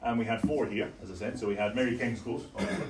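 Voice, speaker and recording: A man guides a group indoors and explains things in a measured way. His voice is caught from a distance, so it sounds roomy and faint. A little background noise runs beneath it. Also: medium pitch. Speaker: tour guide. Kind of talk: guided tour talk.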